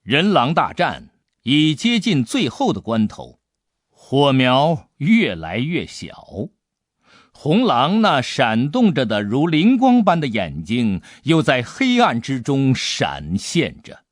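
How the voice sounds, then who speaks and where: 一位男性有声小说演播者，用富有悬念和表现力的方式讲述故事。. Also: male voice, mid-range voice, slow, dry recording, clean studio sound. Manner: suspenseful, expressive delivery. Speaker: audiobook narrator. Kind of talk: dramatic scripted storytelling.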